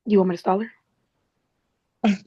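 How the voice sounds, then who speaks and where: A woman playing a polite, loyal office assistant in a drama table read, conversational and gently concerned. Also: medium pitch, fairly even intonation, slow, breathy edge, no room sound, muffled recording. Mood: concerned, helpful.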